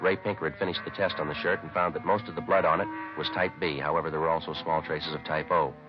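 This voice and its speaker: A man's voice: extremely low pitch, fairly even intonation, quick pace. An American man playing a terse, businesslike police detective in 1950s radio crime drama, speaking in a clipped, matter-of-fact, formally polite way. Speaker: detective narrator. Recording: muffled vintage radio recording with music.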